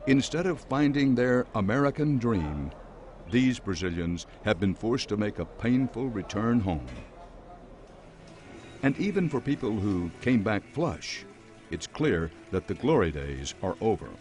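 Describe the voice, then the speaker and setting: A man reporting a documentary news story in a measured, scripted voice-over. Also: on the low side, sing-song, darkish tone, soft background music.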